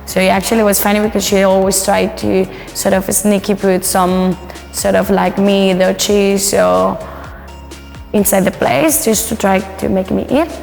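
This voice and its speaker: A woman's voice: medium pitch, steady tone, moderate pace, creaky, darkish tone, big-room sound, soft background music. A woman from Colombia, a native Spanish speaker with a Colombian accent, casually sharing personal stories and cooking as a guest. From Bogota, Colombia. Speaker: guest and home cook.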